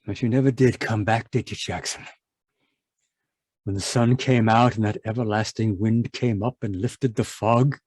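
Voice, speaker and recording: A man's voice: on the low side, steady tone, moderate pace, a little mellow, slightly rough, no room sound. A man playing an irritable, domineering middle-aged scientist husband in an old-time radio drama, contemptuous and blustering. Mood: brooding narration. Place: indoors, live video call performance.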